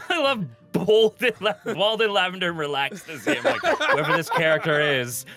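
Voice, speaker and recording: A man's voice: high-pitched, sing-song, moderate pace, bright, forceful tone. A man bantering casually as a game participant, with a playful, teasing manner.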